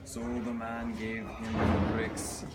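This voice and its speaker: A man's voice: mid-range voice, big pitch swings, slow pace. A man telling a children's fairy tale as a dramatic storybook reading. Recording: noisy, reverberant hall recording.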